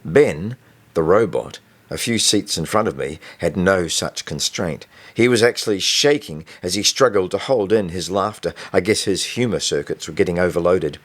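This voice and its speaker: A man with a deep, low voice narrates a novel in the first person. He reads as an author telling a scripted story, in audiobook style. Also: animated, moderate pace. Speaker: author reading own novel.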